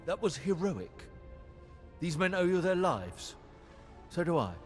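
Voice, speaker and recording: A bitter, desperate enemy officer in a space war game. His dramatic voice runs high and swings widely, ringing with long reverb. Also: very animated, unhurried, soft background music. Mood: humbled gratitude. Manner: dramatic, indignant. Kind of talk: video game cutscene acting.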